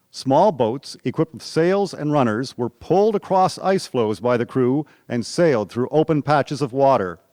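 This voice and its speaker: A man's voice: mid-range voice, darkish tone, no room sound. Man narrating history, dry close sound. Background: faint music.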